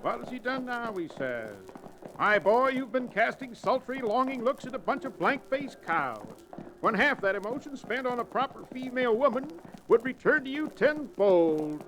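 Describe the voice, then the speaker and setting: An actor playing a blustery old reprobate cowboy sidekick with pompous, florid diction in an old-time radio Western. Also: male voice, extremely high pitch, very animated, normal speed, a bit bright, exaggerated voice. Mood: mock-scornful. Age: older. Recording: noisy vintage radio drama recording.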